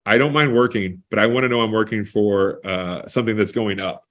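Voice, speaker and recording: A man's voice: fairly low pitch, fairly quick, a bit bright. A man in his forties, a guest in a casual interview, speaking with an enthusiastic, emphatic manner. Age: 40s.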